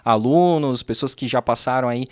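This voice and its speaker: A man co-hosts a podcast, talking in a relaxed, chatty way and agreeing often. His voice sits at an ordinary pitch. The mic is close and dry. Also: normal speed, no echo. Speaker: podcast co-host. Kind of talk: casual podcast chat.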